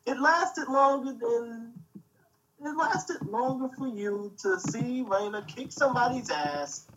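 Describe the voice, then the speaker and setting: Bright, slow-talking man, distant mic. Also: very high voice, lively intonation, muffled recording, a little hiss or hum. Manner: swears freely, dry teasing. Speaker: podcast co-host. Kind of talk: casual podcast chat.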